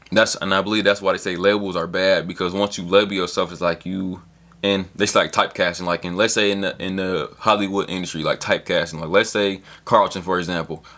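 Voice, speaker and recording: A man's voice: low voice, a bit bright. A man in his early twenties giving a loose, rambling motivational talk in slang, with casual swearing, as a solo vlogger. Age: 20s.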